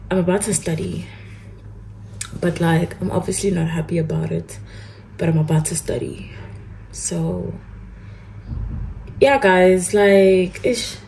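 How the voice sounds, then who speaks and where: A woman's voice: on the low side, steady tone, slow pace, gravelly, long pauses, a little mellow, slight background noise, rough recording. A young woman chatting casually to her vlog audience, rambling informally and repeating phrases for emphasis. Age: young adult. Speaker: student vlogger.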